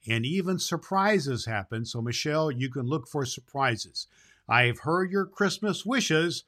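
Jolly old Santa Claus, played by a man, bright and forceful, folksy children's show host, affectionate with young listeners. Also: on the high side. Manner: affectionate, folksy, addresses children, stumbles over words.

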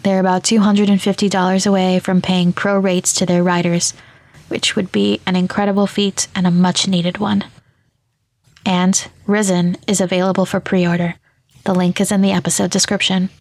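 A woman reading scripted podcast announcements in a measured, clearly enunciated way. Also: medium pitch, level tone, darkish tone. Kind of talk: scripted narration.

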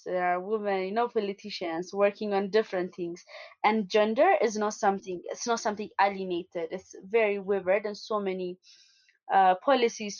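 Young woman activist, earnest interview answers full of restarts, mid-pitched, slightly muffled lo-fi audio. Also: darkish tone. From Ethiopia. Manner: earnest, with many restarts and fillers. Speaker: activist guest.

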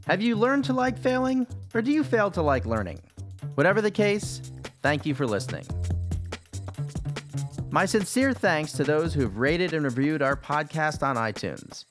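Man reading a lively podcast intro over music. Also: fairly high pitch. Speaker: podcast host. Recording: music bed behind the voice.

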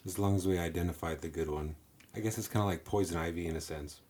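Very deep-voiced young man joking around. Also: fast talker, a little mellow, slightly rough. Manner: jokey, crude, riffing. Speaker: podcast co-host. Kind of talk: casual chat.

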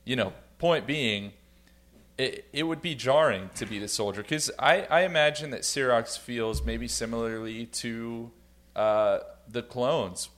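Regular-pitched guy on a podcast panel, thinking out loud, casual and reflective. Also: measured pace. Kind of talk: casual discussion.

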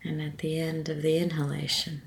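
A woman leading a guided meditation with a gentle, soothing manner and measured phrasing. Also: low voice, level tone, gravelly.

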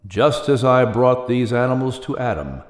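A man's voice: mid-range voice, lively intonation, moderate pace, echoey room, in a large hall, lightly acted. A man voicing God in an audiobook with a grave, solemn manner. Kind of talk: audiobook character reading.

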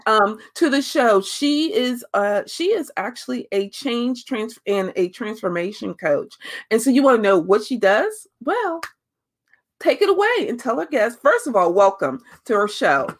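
A woman's voice: fairly high pitch, very animated, a little slow. A woman hosting a podcast, chatty and loose, laughing easily as she rambles through her intro. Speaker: podcast host.

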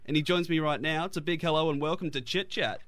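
Chatty Australian radio host, dry sound. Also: male voice, fairly high pitch, fast talker, a bit bright, dry recording. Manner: chatty, hesitant with fillers. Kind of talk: radio interview.

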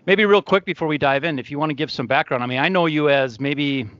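A man's voice: on the high side, quick pace, fairly bright tone, no room sound, highs cut off. A man hosting a podcast in a casual, earnest, conversational way. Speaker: podcast host.

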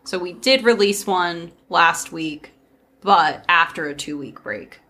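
A woman's voice: on the low side, slow pace, bright, forceful tone. A woman hosting a casual podcast, chatting breezily with friends and leading into a folk tale. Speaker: podcast host. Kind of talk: casual storytelling chat with friends.

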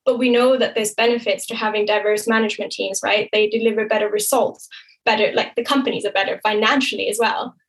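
A young woman who grew up in Thailand, talking casually as a podcast guest, often tagging her points with "right?" and quick laughs. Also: mid-range voice, fairly even intonation, poor audio. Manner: chatty, frequent "right?" tags and quick laughs. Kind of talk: casual interview talk.

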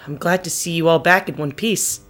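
An actress playing a composed, authoritative team boss in an audio drama, polite and measured. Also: female voice, fairly low pitch, fairly bright tone, natural voice. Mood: composed, businesslike. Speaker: voice actor in a fiction podcast. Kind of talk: scripted audio drama acting.

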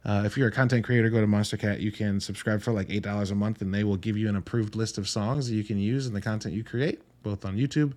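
A man's voice: on the low side, steady tone, fast, few pauses, darkish tone. A man hosting a solo podcast in a casual, enthusiastic and emphatic way, with informal language and wry asides. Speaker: podcast host reviewing films.

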